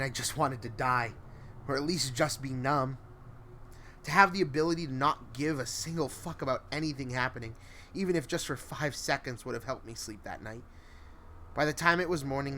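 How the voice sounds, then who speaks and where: A man's voice: mid-range voice, a bit bright. A man tells a horror story in the first person with a mid-range voice. His delivery is brooding and emotive, and noticeable ambient noise sits behind him. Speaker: narrator.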